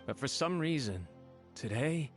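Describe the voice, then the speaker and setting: A man's voice: medium pitch, expressive, measured pace, natural voice. A man playing a humble, loyal young servant in a video game, speaking in an anxious, deferential, apologetic way. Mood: worried, deferential. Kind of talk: scripted video game dialogue. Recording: game audio with clear music underneath.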